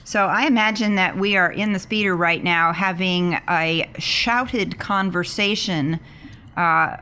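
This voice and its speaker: A woman's voice: fairly low pitch, measured pace, pushed and bright. A woman playing a tabletop role-playing game and chatting casually about her character's actions. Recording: background noise with room ambience.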